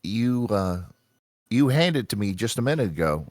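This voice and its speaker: A man's voice: lowish voice, big pitch swings, moderate pace, a little mellow, no echo. A man playing a sceptical, exasperated tourist who objects incredulously, in a comedy table read. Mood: doubtful. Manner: interrupting, incredulous. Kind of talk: live table read of a comedy radio show.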